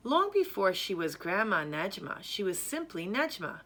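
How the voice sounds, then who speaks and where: A woman reading a children's story aloud in a measured, careful, expressive way. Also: mid-range voice. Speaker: storyteller reading a picture book.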